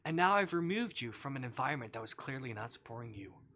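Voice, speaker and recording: A man plays a male kidnapper in a visual novel, composed and possessive. He speaks quietly and clinically in a bright, medium-pitched voice, with condescending certainty. Faint noise sits behind the voice. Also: very animated, fast. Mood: cold, possessive. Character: calm, controlling male kidnapper convinced he is a caring partner. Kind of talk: visual novel character reading.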